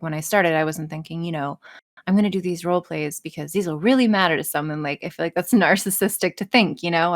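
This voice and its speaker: A woman voice actress chatting casually and candidly as an interview guest, frank, self-deprecating and free with swearing. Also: fairly low pitch. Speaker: voice actress and audio creator being interviewed.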